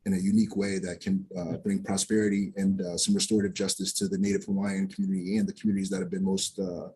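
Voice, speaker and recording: Male advocate, public testimony: earnest, halting delivery, lower-pitched and warm. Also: little pitch movement, husky. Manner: earnest, many filler words and restarts. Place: public hearing.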